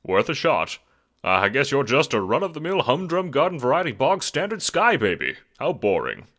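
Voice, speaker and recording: A man's voice: mid-range voice, wildly swooping pitch, normal speed, fairly bright tone. A man voicing a jaunty, self-important globe-trotting scientist in an audio drama, with breezy theatrical delivery and old-fashioned exclamations. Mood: mildly disappointed.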